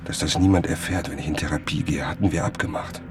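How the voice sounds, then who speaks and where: A man's voice: a bass voice, steady tone, fast talker, background noise. An actor playing an obsessive, suspicious and self-important male journalist in a German radio drama. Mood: suspicious, tense.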